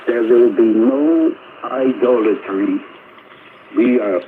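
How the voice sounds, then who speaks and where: Slow preacher over crackling shortwave. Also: male voice, mid-range voice, steady tone. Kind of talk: spiritual address heard over shortwave. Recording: tinny shortwave radio with static.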